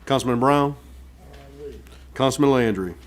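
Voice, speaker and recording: A man's voice: medium pitch, measured pace, slight background noise. A man chairing a council meeting in formal parliamentary procedure, speaking into a meeting microphone. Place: public meeting room.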